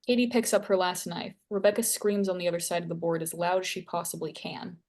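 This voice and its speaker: A woman with a slightly low voice narrates a screenplay. She reads out scene headings and action as the stage directions reader at a table read. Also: flat delivery, fast, a little mellow.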